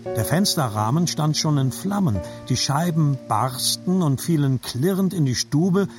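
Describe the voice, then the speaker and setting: A man narrating a scripted literary story in a measured, reflective manner. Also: on the high side, expressive, a little mellow, soft background music.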